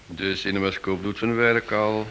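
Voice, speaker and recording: An actor playing a wry, shrewd writer and amateur sleuth in a radio drama, with a dry, probing manner. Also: male voice, on the low side, steady tone, moderate pace, a bit bright. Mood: wry, teasing. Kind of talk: radio drama acting in Dutch. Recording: noisy old radio play recording.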